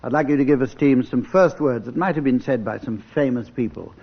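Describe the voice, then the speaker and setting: A man's voice: medium pitch, a little fast, vocal fry. An English man hosting a radio panel game as chairman, with a dry, mock-formal delivery. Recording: dull, noisy old radio recording.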